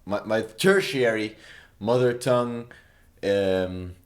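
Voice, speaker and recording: A young man from Saudi Arabia whose first language is Arabic talks through the story behind his song. His voice sits a little low. He wanders from point to point, corrects himself, and fills pauses with "um" and "like" in a mock-earnest tone. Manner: meandering, self-correcting, many fillers, mock-earnest. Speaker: songwriter explaining his song. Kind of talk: casual rambling explanation.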